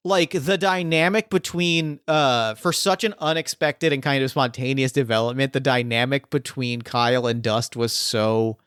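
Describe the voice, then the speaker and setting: Rambling male game master, smooth dry voice. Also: medium pitch, moderate pace, hardly any pauses, a bit bright, no room sound. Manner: rambling, heavy use of "like" fillers. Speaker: game master and podcast host. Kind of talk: casual post-game chat.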